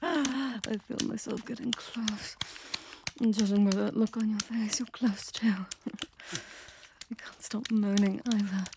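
A woman's voice: mid-range voice, noisy background. A young adult woman performing an intimate scripted audio roleplay close to the microphone, commanding and teasing, often dropping to a whisper. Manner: soft and gentle near the end.

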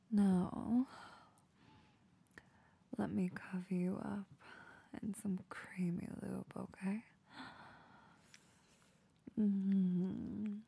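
A young woman whispering softly and soothingly into a close microphone in an intimate ASMR roleplay. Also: medium pitch, level tone, drawn-out words, creaky, long pauses, slightly rough. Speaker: audio roleplay performer.

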